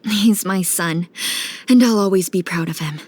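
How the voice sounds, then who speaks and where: A woman's voice: medium pitch, moderate pace, a little mellow, lightly acted. A woman voicing a proud, resolute mother tending the wounded in a video game, earnest and firm. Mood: steady, proud.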